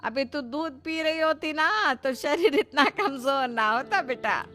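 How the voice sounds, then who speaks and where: A woman's voice: high-pitched, animated, unhurried, pushed and bright, faint music behind, pitched above the performer's own voice, exaggerated voice. A woman voicing a kindly, old-fashioned older mother-in-law in a cartoon, giving motherly, folksy advice. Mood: motherly advising.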